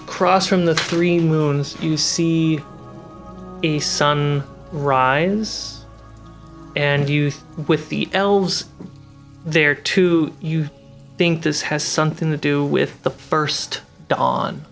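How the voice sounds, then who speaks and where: A game master narrating a tabletop fantasy game with deliberate dramatic pauses. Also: mid-range voice, steady tone. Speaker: game master and show host. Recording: background music and rain sounds under voice.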